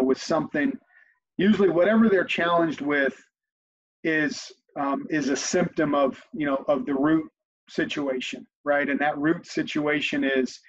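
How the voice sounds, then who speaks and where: A slightly high-pitched man in his forties talks in a relaxed, reflective way, circling back over his words as he gathers his thoughts. He is on a video call from a home office, and the webcam audio sounds dull and lo-fi. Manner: reflective, repeats words while thinking. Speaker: company CEO, guest. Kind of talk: conversational interview.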